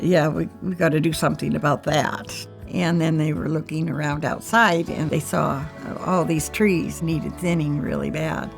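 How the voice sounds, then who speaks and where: A woman's voice: on the low side, vocal fry. An older woman, a homeowner, telling her story in a plain-spoken, heartfelt testimonial. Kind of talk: personal testimonial.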